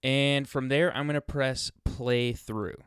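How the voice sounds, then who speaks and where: A man presenting a casual software walkthrough, chatting informally and thinking aloud as he demonstrates, speaking into a close USB microphone. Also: mid-range voice, fairly even intonation, takes it slowly, smooth, no echo. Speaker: tutorial presenter.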